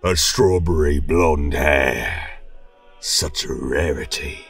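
A man's voice: a bass voice, slow pace, creaky, a bit bright, far from the mic, soft background music, pitched below the performer's own voice, full character voice. A man voicing a brutal, possessive barbarian warlord, domineering and menacing, in a storytelling podcast.

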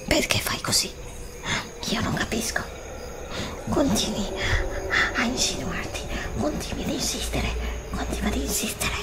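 A middle-aged woman whispering an ASMR roleplay, pleading and anxious, recorded indoors on a desk studio mic. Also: fairly high pitch. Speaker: ASMR creator. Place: indoor room before a green screen.

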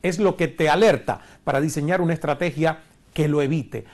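A man's voice: on the high side, brisk, darkish tone. A man in his forties from Cuba, standing before a screen in a studio and teaching an online course with a lapel mic, earnest and asking rhetorical questions. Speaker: course instructor. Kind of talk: recorded online lecture.

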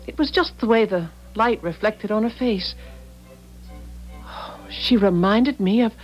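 An actress in a 1970s radio drama playing a gentle, fretful, long-suffering wife in a genteel theatrical manner. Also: female voice, mid-range voice, measured pace, dull audio, natural voice. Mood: wistful. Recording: 1970s radio broadcast, music behind.

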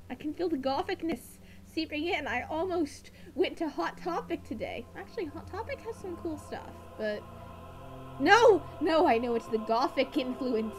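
A woman in a casual comic monologue, speaking in a melodramatic, hesitant way. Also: extremely high pitch.

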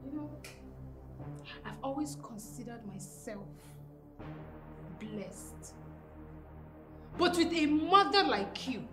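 A young Nigerian daughter standing up to her mother in a melodrama. Her voice is very high and lively, full of exasperated protest. Faint music plays behind the roomy sound. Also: moderate pace. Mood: hurt, incredulous. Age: young adult. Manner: pleading, protesting. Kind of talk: screen melodrama acting.

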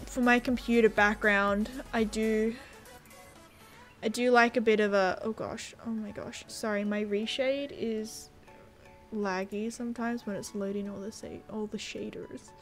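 A woman's voice: mid-range voice, steady tone, unhurried, soft background music. A young woman streaming a horse game, chatting casually from home on a headset and reading viewer messages aloud. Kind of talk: casual livestream chat. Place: home room at a gaming setup.

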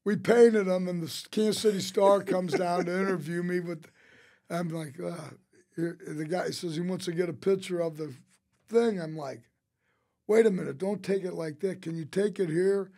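High-pitched older man spinning anecdotes. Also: moderate pace, gravelly. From St. Louis, United States. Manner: rambling, animated storyteller who quotes others. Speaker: interview guest, restaurateur. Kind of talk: casual anecdotal storytelling. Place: small podcast studio. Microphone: close studio mic on a boom arm.

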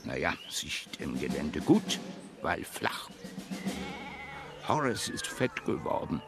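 An older actor playing a gruff old soldier who mutters to himself in clipped military fragments in a radio play. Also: male voice, a bass voice, sing-song, takes it slowly, over loud music, exaggerated voice. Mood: grumbling, musing. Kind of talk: German radio play acting.

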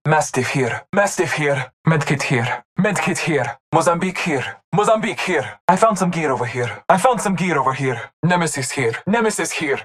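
A man's voice: fairly high pitch, a bit bright. A man voicing a solemn, devout hunter warrior in a video game, speaking in formal, ceremonial phrases. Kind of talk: video game character callouts.

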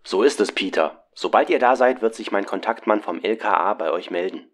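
A man's voice: fairly low pitch, a little fast, lightly acted. A man playing a formal, businesslike client briefing detectives in an audio drama parody.

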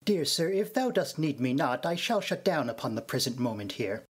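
A man's voice: mid-range voice, sing-song, normal speed, breathy edge. A man playing a courteous, fussy robot servant with formal speech in a Shakespearean drama. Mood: polite.

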